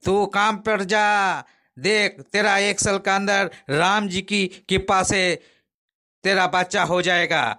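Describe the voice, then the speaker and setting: Sly male guru played by a man, piercing bright voice, self-assured, condescending, comic cartoon. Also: sits high, level tone, a little slow. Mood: sly, commanding. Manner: commanding, condescending guru talk.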